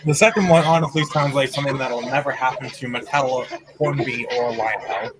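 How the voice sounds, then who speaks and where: A man chatting casually, halting and repeating himself as he goes. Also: mid-range voice. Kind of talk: casual chat. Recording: noisy voice chat.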